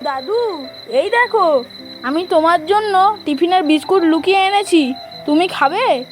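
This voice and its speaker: A very high-pitched, earnest little boy. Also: expressive, unhurried, faint music behind, rough recording. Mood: sweet, secretive. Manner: childlike, earnest. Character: hurt, defiant seven-year-old grandson. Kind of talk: audio drama acting.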